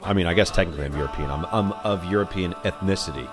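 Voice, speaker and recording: Deep-voiced man, loud music behind. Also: racing. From New York. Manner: chatty, rambling, frequent "uh" and restarts. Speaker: live stream host and musician. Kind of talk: casual chat reading viewer comments. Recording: live stream with loud music playing behind. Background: loud recorded Irish music.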